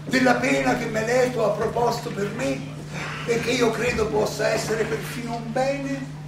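An actor playing an aging Athenian philosopher who defends himself in a theatrical monologue, ironic and full of rhetorical questions and halting repetitions. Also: male voice, extremely high pitch, fairly even intonation, vocal fry. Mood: reflective, questioning. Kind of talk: theatrical stage monologue, oratory. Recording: roomy, distant, noisy live stage recording.

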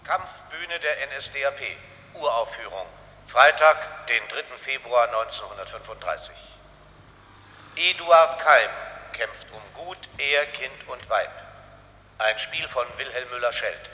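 An actor playing a strident 1930s propaganda theatre announcer, declaiming a poster in a radio play, with long reverb. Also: male voice, mid-range voice, lively intonation, unhurried, bright, forceful tone. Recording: long reverberant hall echo.

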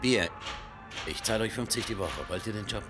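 Casting: man for a no-nonsense bootlegger, very low register, fast talker, radio drama. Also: natural voice. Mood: curt, businesslike. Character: brisk, businesslike male bootlegger boss hiring muscle. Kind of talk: German radio play dialogue. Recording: music behind dialogue.

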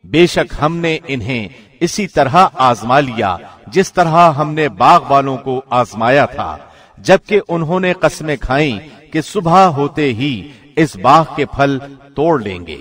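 A man reading a scripture translation from a script in a formal, measured radio-announcer manner. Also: medium pitch, lively intonation, fairly bright tone. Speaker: translation reader, radio presenter.

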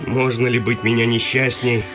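A man's voice: medium pitch, little pitch movement, moderate pace, over loud music, lightly acted. A man playing an ardent, gallant young suitor in a classic comedy radio play, speaking in a florid, earnest, theatrical way. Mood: despairing.

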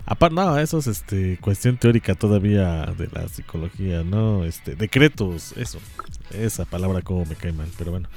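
Mexican male podcast co-host, joking and informal, darkish low voice, light music underneath. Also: animated, moderate pace, a little mellow. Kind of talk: casual chat.

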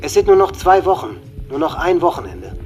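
A teenage school shooter in a radio drama. The young man boasts with cold menace, delivering deliberate threats in a slightly raised voice. Faint music sits underneath. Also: on the high side, sing-song, a little mellow. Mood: hateful. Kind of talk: documentary radio drama acting.

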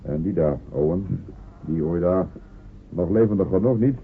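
An actor playing a pious, contented livestock farm master in a Dutch radio play, with a folksy manner. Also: male voice, deep voice, moderate pace. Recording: tinny old radio play, faint noise.

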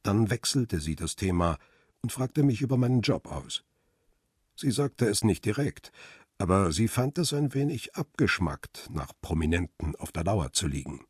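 Low, husky middle-aged man, reflective. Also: sing-song, normal speed, dry recording, studio quality, exaggerated voice. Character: world-weary middle-aged male paparazzo narrating his story. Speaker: narrator and lead actor in a crime radio play. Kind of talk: radio drama, first-person narration and dialogue.

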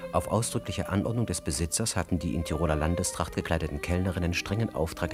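A man narrating a literary radio drama in a measured, wry storytelling manner. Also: low voice. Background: music clearly playing.

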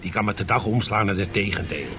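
An actor playing a ruthless, scornful financier in a 1970s radio drama, dry and sardonic. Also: male voice, on the low side, quick pace, music in the background, natural voice. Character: ruthless, scornful male financier plotting a rival's ruin.

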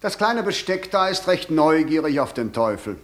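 An actor playing a suave, teasing, sardonic Satan in a German radio play, with wry, polished phrasing. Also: male voice, on the high side, big pitch swings, moderate pace.

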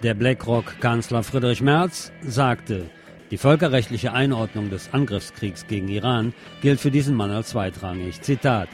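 Male news commentator, medium pitch, scripted read with a sardonic edge, light music bed underneath. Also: normal speed. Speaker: news commentator presenting a radio programme.

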